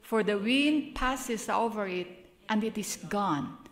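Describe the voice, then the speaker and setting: A woman's voice: medium pitch, slow. An older woman from the Philippines with a Filipino accent, leading a prayer and preaching a church sermon in an earnest, chatty way. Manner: earnest, chatty, laughs at herself. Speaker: guest speaker.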